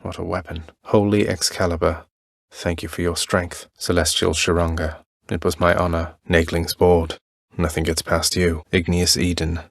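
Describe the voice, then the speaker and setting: Casting: young prince of the underworld for a video game, low voice, dry wit, courteous, very close dry recording. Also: male voice, level tone, normal speed, creaky, no room sound. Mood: reverent. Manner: casual, dry, understated, polite. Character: young male underworld prince, dry-witted, polite, friendly.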